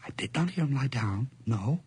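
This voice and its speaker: An actor playing a sly, obsessive madman in a gothic radio drama, speaking theatrically and deliberately in a husky, breathy voice that often nears a whisper. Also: medium pitch, expressive, a little mellow. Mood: stealthy, sinister. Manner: theatrical, deliberate, lingering on repeated words. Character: sly, obsessive, gloating madman confessing a murder plot.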